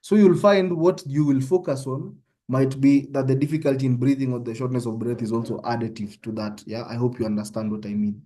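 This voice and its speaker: A man's voice: mid-range voice, steady tone, fairly quick, hardly any pauses, mellow. A young Kenyan man with a Kenyan accent, giving an informal online medical tutorial and often checking in with his listeners. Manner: conversational, often checks in with "yeah?".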